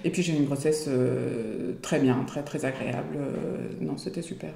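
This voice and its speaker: Woman in her 40s, low gravelly voice, lots of umms, roomy echo. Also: normal speed, dark tone. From Belgium. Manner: chatty, many hesitations. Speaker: guest. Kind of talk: casual interview chat.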